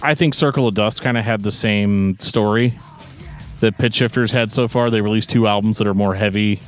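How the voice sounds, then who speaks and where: A man with a fairly low voice talks casually as a podcast co-host. His manner is sarcastic and joking, and music plays faintly behind him. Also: steady tone, moderate pace.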